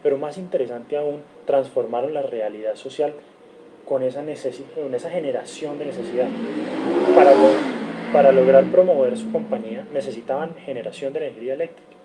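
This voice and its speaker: A man's voice: mid-range voice, warm tone, a little hiss or hum, poor audio. A man from Cali, Colombia, with a Colombian accent, giving an earnest online seminar lecture over a video call, listing figures and often correcting himself. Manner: earnest, lists figures, frequent self-corrections. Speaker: guest lecturer.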